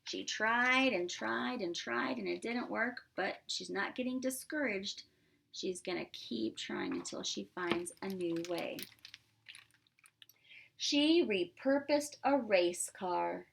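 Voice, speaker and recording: A woman's voice: mid-range voice, creaky. A woman reading a picture book aloud as a pre-K teacher, expressively, with simple asides to young children.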